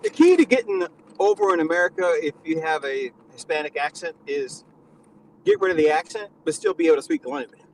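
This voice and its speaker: A man's voice: fairly high pitch, huge pitch swings, brisk, slight background noise, rough recording. A man hosting a live stream, teasing his guest in crude, casual banter. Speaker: stream host.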